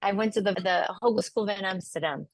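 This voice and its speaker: A woman's voice: medium pitch, brisk, no echo. A woman hosting a podcast interview, talking enthusiastically with frequent "um" fillers. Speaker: podcast host.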